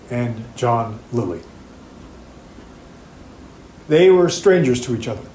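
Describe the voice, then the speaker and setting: In a roomy, echoing space, a man acts as a grave attorney addressing a jury in an audio drama. He speaks slowly and formally at a middle pitch, earnest and measured, with deliberate pauses. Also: darkish tone. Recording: roomy echo, faint background noise.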